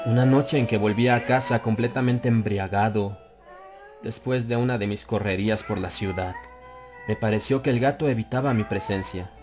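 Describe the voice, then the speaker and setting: A man narrating a dark literary tale as an audiobook, in a grave, measured first-person delivery. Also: on the low side, fairly even intonation. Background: soft music and ambient effects.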